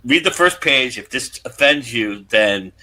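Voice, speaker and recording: A man's voice: mid-range voice, lively intonation, measured pace, heavy background noise. A man hosting an interview in a casual, conversational style. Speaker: host, interviewer.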